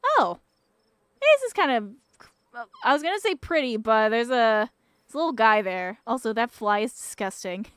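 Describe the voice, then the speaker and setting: A woman playing through a game, chatting casually and reacting in an animated way. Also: a little high, normal speed, clean tone, no echo, clean studio sound. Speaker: streamer playing a visual novel. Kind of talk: casual game playthrough with live reactions and read-aloud text.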